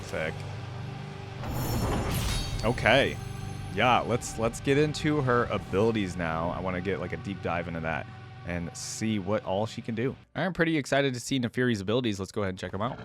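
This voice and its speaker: A man's voice: fairly low pitch, moderate pace, music in the background. A man reacting off the cuff in a casual, tentative way as the host of a reaction video.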